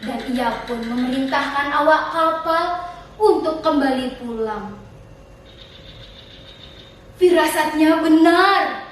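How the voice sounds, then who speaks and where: A woman's voice: high-pitched, slow pace, long pauses. A teenage young woman with a Central Kalimantan Indonesian accent, telling a folktale theatrically in a dramatic, declamatory contest style. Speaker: storytelling contestant. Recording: distant echoey room with faint noise.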